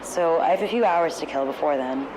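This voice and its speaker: An actress playing an eager teenage cub reporter dictating a casual tape diary, recorded with outdoor noise. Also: female voice, low voice, a little fast, natural voice. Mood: curious. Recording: noisy outdoor tape with street ambience.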